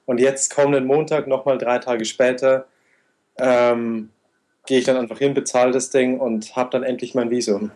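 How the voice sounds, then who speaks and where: A man in his twenties, an interview guest recounting his travels in a steady, detailed way. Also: mid-range voice, normal speed. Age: 20s. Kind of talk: conversational interview answers.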